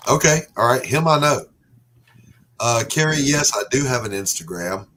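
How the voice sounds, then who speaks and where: A man hosting a casual podcast chat, animated and playfully exasperated. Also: medium pitch, normal speed, slight background noise. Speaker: podcast host.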